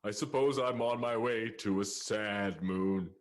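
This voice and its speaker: A man's voice: fairly low pitch, measured pace, close to an everyday voice. A man playing a heartbroken, mopey vaudeville comedian, mournful and sighing, in an improvised radio comedy.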